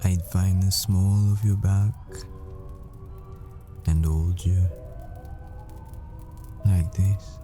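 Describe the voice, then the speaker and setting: A man playing a devoted, yearning boyfriend in an intimate audio roleplay, speaking tenderly and haltingly as if confessing. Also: sits low, fairly even intonation, mellow, soft background music. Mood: intimate, confessional.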